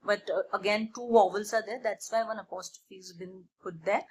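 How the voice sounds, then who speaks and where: A woman teaching a beginner language lesson, deliberately repeating phrases for learners. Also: medium pitch, steady tone, breathy edge. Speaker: language teacher and channel host. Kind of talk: beginner French lesson, explanatory.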